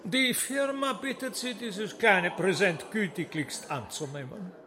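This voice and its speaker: An actor playing a sly stage trickster in a radio drama, with oily, ceremonious politeness. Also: male voice, sits high, a little slow, sharp tone. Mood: mock courteous. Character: sly, mock-courteous male stage trickster.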